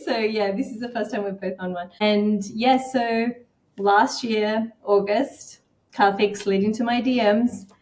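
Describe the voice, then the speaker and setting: A woman's voice: mid-range voice, fairly even intonation, a little slow, darkish tone. A woman guest on a podcast, casually telling personal stories in a conversational way. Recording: roomy, echoing sound.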